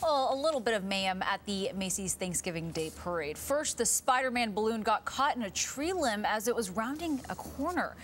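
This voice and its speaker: A woman's voice: medium pitch, lively intonation, normal speed, hardly any pauses, a bit bright, slight background noise. A woman with an American accent presenting morning news as a television anchor.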